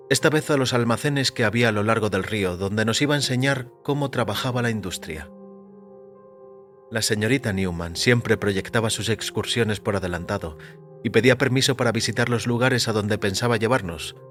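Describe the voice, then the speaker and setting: Male narrator, lowish voice, measured literary reading, scripted fiction, faint music behind. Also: a bit bright. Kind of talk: scripted first-person story narration.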